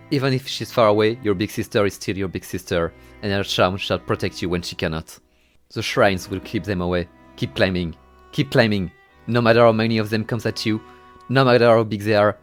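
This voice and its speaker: A man narrating a horror game playthrough from a script, moving between dramatic storytelling and wry, exasperated asides. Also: fairly low pitch. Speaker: video game reviewer and narrator.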